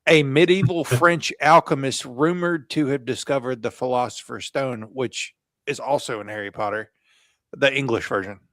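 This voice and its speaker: A man's voice: mid-range voice, moderate pace, fairly bright tone, dry recording. Jokey man reading out research notes. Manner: jokey, reads notes aloud with asides. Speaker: podcast co-host. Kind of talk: casual chat reading from notes.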